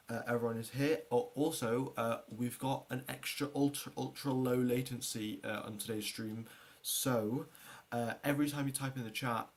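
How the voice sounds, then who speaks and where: Man hosting a casual, rambling livestream. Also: medium pitch. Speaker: livestream host. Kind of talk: casual livestream chat with viewers and race preview commentary.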